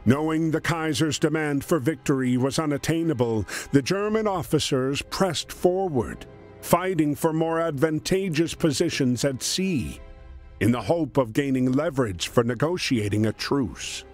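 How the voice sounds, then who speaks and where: Slow, measured male storyteller. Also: a little high, animated, soft background music. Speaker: narrator. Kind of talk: scripted storytelling narration of a war video game.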